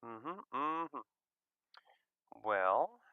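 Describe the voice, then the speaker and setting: A man's voice: mid-range voice, sing-song, drawn-out words, clear, resonant tone, no echo, phone-quality sound. A man hosting a casual livestream, chatting loosely and playfully, sometimes murmuring in singsong to himself. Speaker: livestream host of a visual novel reading. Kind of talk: casual livestream chat while waiting to start.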